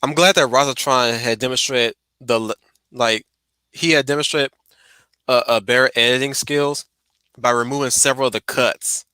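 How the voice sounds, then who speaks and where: A man's voice: mid-range voice, steady tone, normal speed, bright, forceful tone, clean tone, no echo. A man chatting casually on a livestream as he reacts to a video, often repeating words as he finds his way. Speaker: stream host.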